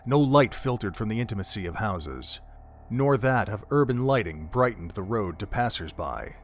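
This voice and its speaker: Man narrating a story, measured. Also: lowish voice, animated, soft background music.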